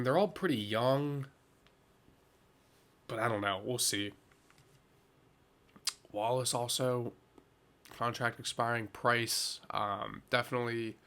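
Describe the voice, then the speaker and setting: A man's voice: lowish voice, bright, forceful tone, clean tone. A man giving casual, laid-back gaming commentary as host, sounding a little stuffy with a head cold.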